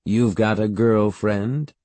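A man voicing a big, loud old great-aunt in a storybook, teasing and menacing, with bellowing, probing questions. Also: fairly even intonation, slow pace, no echo. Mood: leering, probing. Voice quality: deep-throated.

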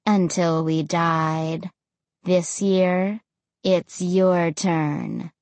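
A woman voicing a dead ghost girl in an audiobook, speaking in a glum, eerily calm, menacing monotone. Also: lowish voice, extremely slow, no room sound, pitched below the performer's own voice. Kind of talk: audiobook character acting.

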